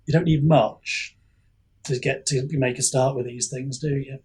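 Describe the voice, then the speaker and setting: A man's voice: medium pitch, fairly even intonation, measured pace, a little mellow, husky. A man talking casually as an author on a podcast, modest and hesitant, rambling a little.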